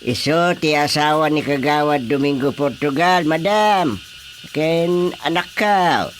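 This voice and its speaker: Slow, fussing old Filipino father. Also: fairly high pitch, a little hiss or hum. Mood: helpful. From Philippines. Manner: exclamatory, repeating himself. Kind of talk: comedic radio drama acting.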